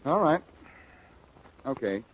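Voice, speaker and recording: An actor playing a breezy, wisecracking detective in 1940s radio drama style, flippant and teasing. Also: male voice, medium pitch, sing-song, slow pace. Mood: cool, coaxing. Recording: muffled, noisy 1940s radio recording.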